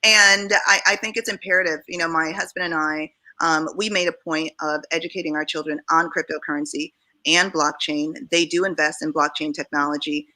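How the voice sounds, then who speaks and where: A woman's voice: sits low, steady tone, a little fast, bright, forceful tone, low-quality recording. A woman from Jamaica speaking earnestly as a panelist in a discussion.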